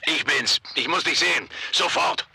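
Frantic night caller on a noisy phone line, very tense, creaky voice. Radio noir. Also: male voice, fairly high pitch, normal speed, pushed and bright, heavily acted. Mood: panicked, urgent. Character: panicked secret police colleague on an emergency night call. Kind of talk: radio crime drama acting.

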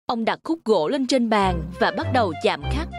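A woman's voice: on the high side, animated, normal speed, over music. A woman reading scripted narration for a children's fairy tale.

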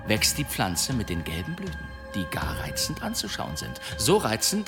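A swaggering demon hunter in a radio drama. He spins tall tales with florid pomp and a dramatically swinging melody, over prominent music. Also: medium pitch. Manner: theatrical, florid, pompous storytelling. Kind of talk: German radio play acting.

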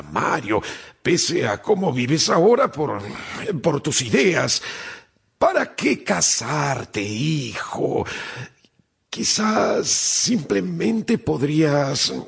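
An actor playing a sentimental, haughty old grandfather in a radio drama, speaking slowly and theatrically with a raspy, creaky voice. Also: on the high side, full character voice. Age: older. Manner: slow, theatrical, drawn-out delivery.

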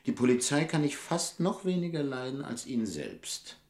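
An actor playing a cocky, affectionate pickpocket in a 1960s German radio drama, talking in nonchalant slang. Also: male voice, medium pitch, very animated, moderate pace, darkish tone. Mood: breezy. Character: cocky, easygoing gentleman pickpocket and gangster.

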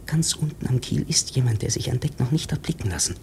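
An actor playing an uneasy sailor in a radio play, speaking in a hushed, insistent and secretive way. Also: male voice, mid-range voice, animated, fast talker, vocal fry, in a whisper. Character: uneasy whispering sailor, sure he hears something hidden. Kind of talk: German radio play acting.

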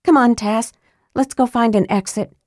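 A woman voicing a determined young woman who speaks briskly and grimly. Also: fairly high pitch, steady tone, darkish tone, dry recording, clean studio sound, voice pushed up, lightly acted. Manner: brisk, grim.

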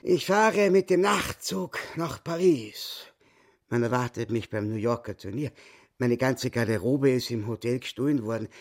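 An actor playing a cocky, boastful small-time chess hustler in a radio play, talking in a self-important, challenging way. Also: male voice, medium pitch, animated, moderate pace. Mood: boastful, bossy.

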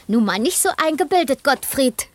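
A woman's voice: high-pitched, expressive, moderate pace, darkish tone. An actress playing a cheeky, pushy local woman with a familiar teasing manner in a 1970s East German radio drama.

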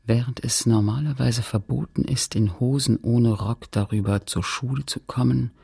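A man with a husky, gravelly voice narrating a literary radio play in a measured, read-aloud manner. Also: medium pitch, fairly even intonation, mellow.

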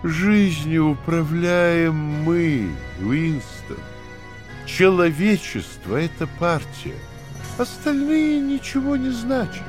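An actor playing a cruel, domineering party interrogator who lectures theatrically, swinging from hushed to emphatic, in an audio drama. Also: male voice, fairly high pitch, expressive, slow, soft background music. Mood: quietly contemptuous. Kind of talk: dramatic audio play dialogue.

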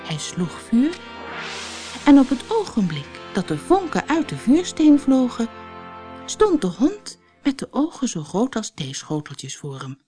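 A woman reading a fairy tale as an audiobook storyteller, expressive and dramatic in her scripted narration. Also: fairly high pitch, a little slow, faint music behind.

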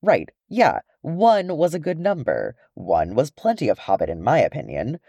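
A man's voice: mid-range voice, huge pitch swings, moderate pace, creaky, dark tone, no echo, highs cut off, voice pushed up, exaggerated voice. A man voicing a sceptical, chatty dwarf from a storybook, wry, blunt and colloquial. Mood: wry grumbling.

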